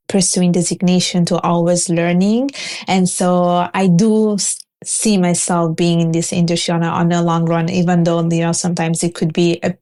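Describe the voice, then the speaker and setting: Woman, 30s, grew up in Italy, Italian native; low, even delivery, earnest guest telling her career story. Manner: earnest, searching for words with many restarts. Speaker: guest, finance professional. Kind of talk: interview answers.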